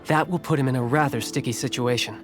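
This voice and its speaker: A man's voice: medium pitch, fast talker, over music, natural voice. A man playing a polite, studious young nobleman in a video game, speaking in a courtly, formal and articulate way. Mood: calm, scheming.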